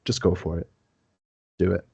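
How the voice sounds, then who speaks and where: Deep-voiced man from Quebec, dark tone. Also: normal speed, gravelly, breathy edge, dry recording. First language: French. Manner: earnest, relaxed, with many fillers and repeated words. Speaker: guest historian. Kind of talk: casual podcast interview.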